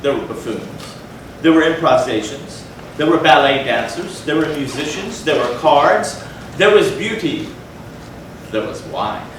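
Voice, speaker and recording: A man giving a dramatic stage reading of a gothic story with theatrical, measured phrasing. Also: fairly high pitch, animated. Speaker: stage reader. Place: indoor theatre, far from the mic. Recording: echoey theatre from far off, faint noise.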